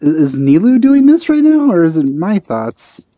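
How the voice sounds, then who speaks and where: A man's voice: high voice, big pitch swings, normal speed, dark tone, no room sound. A man doing casual let's play commentary, dropping dry, wry asides close to the microphone.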